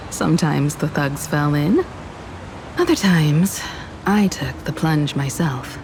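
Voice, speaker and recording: A woman playing a calm, composed young navigator in a video game, speaking in a measured, reflective way. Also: low-pitched, background noise. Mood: nostalgic, wistful. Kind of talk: scripted video game dialogue. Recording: music behind the voice.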